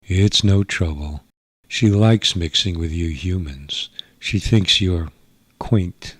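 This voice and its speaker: A man's voice: low voice, slow pace, a bit bright, breathy edge, dry recording, clean studio sound, full character voice. A man voicing a sassy young alien woman with a teasing, insolent drawl in a radio sci-fi story.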